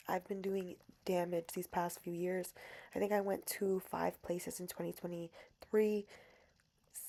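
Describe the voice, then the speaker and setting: A woman's voice: mid-range voice, gravelly, mellow. A woman in her twenties from New Jersey with a New Jersey accent, Spanish her first language, chatting in a casual diary vlog voice-over with playful, emphatic repetition. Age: 20s. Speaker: vlogger.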